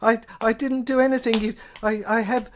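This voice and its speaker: A man's voice: very high voice, little pitch movement, fairly quick, slight background noise, voice pushed up. A man playing a frantic, indignant prisoner in an audio play, stammering and pleading melodramatically. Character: frantic, indignant male prisoner pilot on trial. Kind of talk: theatrical audio play monologue.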